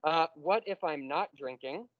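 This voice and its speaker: A man teaching a university math class, explaining step by step and slowing down on key terms. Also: fairly high pitch, no room sound, lo-fi sound. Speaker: math lecturer. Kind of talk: classroom lecture.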